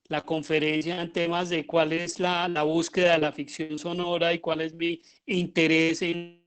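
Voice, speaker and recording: A middle-aged man with a Colombian accent giving an informal lecture, often pausing and repeating himself as he searches for words. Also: a little high, level tone, a bit bright, clear, resonant tone. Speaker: lecturer, radio drama director.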